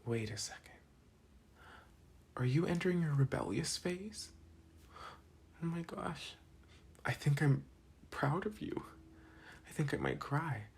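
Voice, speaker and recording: A young man playing a protective, teasing but soft-hearted school delinquent in an intimate, casual ASMR boyfriend roleplay. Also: on the high side, lively intonation, measured pace, vocal fry, pauses often, breathy edge, exaggerated voice.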